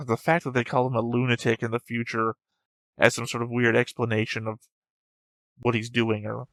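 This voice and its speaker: A man's voice: medium pitch, level tone, normal speed, a bit bright, no room sound. A man giving off-the-cuff reaction commentary as a show host, thinking aloud and posing rhetorical questions in a musing way.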